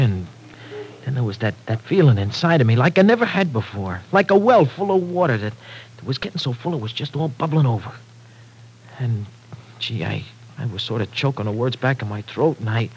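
An American actor playing a lovestruck young tough guy in an old-time radio drama, talking in halting, slangy, streetwise speech. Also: mid-range voice, lightly acted. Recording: noisy vintage radio recording.

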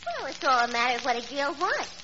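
High-pitched daffy tavern girl. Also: sing-song, quick pace. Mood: envious, dreamy. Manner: affected would-be genteel chatter. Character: homely, man-crazy tavern owner's daughter, daffy and envious. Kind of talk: 1940s radio comedy acting. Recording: muffled, hissy old radio broadcast.

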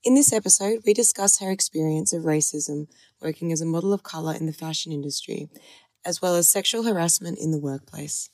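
A woman's voice: sits low, fairly quick, a little mellow, no echo. A woman fashion model co-hosting a podcast, reading the intro and asking interview questions in an upbeat, casual way.

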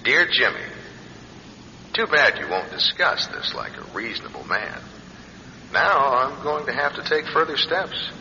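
A menacing blackmailer in vintage radio drama. He is mock-polite and taunting, with animated pitch. His voice sits in long reverb on a noisy old recording. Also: a little slow, dull audio. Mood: taunting, threatening. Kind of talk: classic radio drama, threatening letter voice-over.

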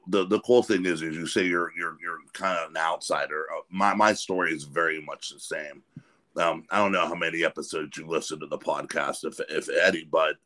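Middle-aged American male podcast host, extremely low pitch, forceful bright tone, thoughtful conversational delivery. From Pennsylvania, United States. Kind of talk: casual conversational interview.

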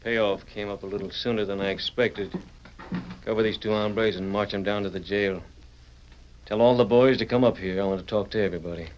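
A bossy Western outlaw leader as a male actor plays him, low in pitch. He commands in drawled frontier slang with quiet menace. The old radio recording sounds dull. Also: fairly even intonation, a little fast, a little hiss or hum, lightly acted. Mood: commanding. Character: bossy, menacing outlaw boss posing as deputy. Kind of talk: 1940s radio Western acting.

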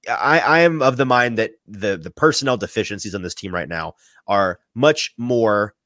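A man hosting a sports talk podcast, sniffly from a sinus infection, animated and often repeating his first words as he starts a thought. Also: low-pitched, no echo, studio quality. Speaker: podcast host.

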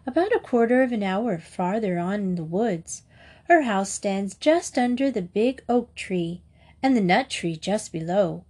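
A woman's voice: medium pitch, expressive, a little slow, dark tone, slightly rough. A woman voicing an innocent, trusting little girl in a storybook, sweet and earnest. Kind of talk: storybook character voice.

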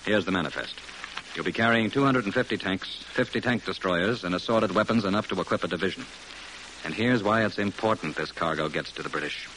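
Casting: a stern, emphatic officer, tense low-pitched delivery, classic radio drama. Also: male voice, fairly quick, fairly bright tone. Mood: urgent, firm. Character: grave, forceful military officer briefing a captain. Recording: faintly noisy old radio recording.

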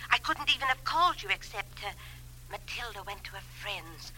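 A woman's voice: mid-range voice, lively intonation, normal speed, pushed and bright, slight background noise, poor audio. A woman playing a genteel, fussy, proud elderly widow in 1950s radio drama, hesitant and overly precise. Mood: anxious, pleading. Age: older.

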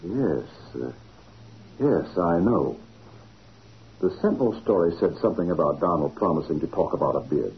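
An actor playing a sly, smug crooked contractor with oily courtesy, in old-time radio drama style. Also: male voice, low voice, expressive, normal speed, pauses often. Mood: feigned innocence. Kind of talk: 1940s radio acting. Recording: dull, noisy old radio recording.

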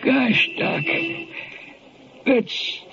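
Shaky earnest fellow on old radio drama, crawling slow speech, muffled sound. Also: male voice, sharp tone, faint music behind. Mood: dazed, grateful. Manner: halting, earnest.

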